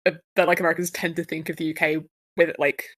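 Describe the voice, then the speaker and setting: A woman's voice: low voice, little pitch movement, quick pace, dry recording, clean studio sound. A young woman from England with a Southern English accent, chatting casually in a rambling way with many fillers. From England, Guildford. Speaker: player. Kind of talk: casual chat.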